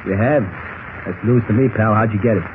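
A man's voice: on the low side, brisk, slight background noise, close to an everyday voice. Man playing a drowsy radio gangster, tinny recording. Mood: drowsy, indifferent. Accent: American. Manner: slangy tough-guy talk, lazy and unbothered. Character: sleepy, easygoing gangster henchman, a hired kidnapper. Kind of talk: 1940s radio acting.

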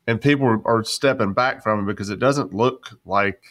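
An American man with a fairly low voice hosts a podcast in a casual, folksy conversational style. The sound is dry and close, with very little room. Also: moderate pace, fairly bright tone, dry recording. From United States. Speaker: podcast host.

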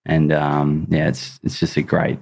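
A man's voice: very deep voice, monotone, measured pace, vocal fry, darkish tone, slightly rough. An Australian man hosting a podcast interview, talking in an open, friendly way and laughing easily. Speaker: podcast host. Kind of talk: conversational interview.

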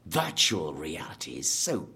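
An actor playing a brash theme park designer, husky and animated, relishing gruesome details in an audio drama. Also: male voice, very deep voice, unhurried, heavily acted. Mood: fervent. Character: brash, morbidly enthusiastic male designer of a dangerous theme park.

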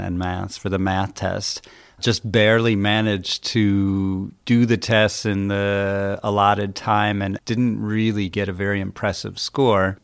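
A man's voice: lowish voice, creaky, clean tone. A man speaking as a journalist guest, explaining things in a casual, colloquial and slightly wry way.

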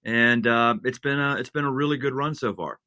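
A man's voice: mid-range voice, a little fast, sharp tone, dry recording, studio quality. A man, a senior pastor, talking in an interview in an earnest way, building into an emphatic preaching rhythm with repeated phrases. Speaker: senior pastor, guest author.

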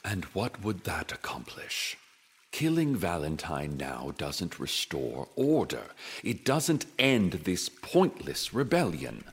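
A man playing an imperious, calculating corporate director with a husky voice and a pompous, deliberate manner in a dystopian audio drama. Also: low voice, huge pitch swings, full character voice. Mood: cold, calculating.

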